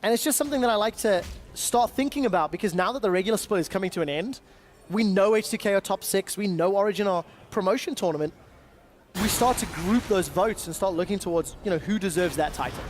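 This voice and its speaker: A man's voice: sits high, quick pace, a little hiss or hum. A man doing play-by-play commentary on an esports match, with dry banter between calls. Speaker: esports caster. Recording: music bed under commentary.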